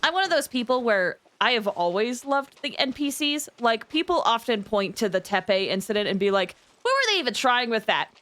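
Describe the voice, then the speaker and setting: A woman hosting a livestream, chatting casually with viewers in an expressive, emphatic way. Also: a little high, moderate pace, few pauses. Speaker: livestream host.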